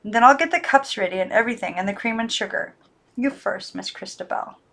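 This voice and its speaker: A woman voicing a frightened young girl who coaxes, then desperately shouts at her dolls. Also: mid-range voice, a little fast, creaky. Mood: coaxing. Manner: coaxing, then frantic and shouting.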